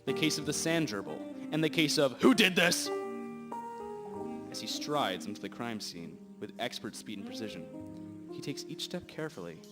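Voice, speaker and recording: A man's voice: mid-range voice, expressive, moderate pace. Man narrating over prominent music. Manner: mock-dramatic. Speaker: narrator of a radio drama. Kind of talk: scripted comic storytelling narration.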